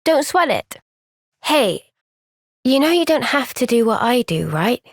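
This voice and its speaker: An actress voicing a young woman soldier in a game cutscene, soft-spoken and caring. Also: on the high side, very animated, unhurried, no echo, clean studio sound, heavily acted.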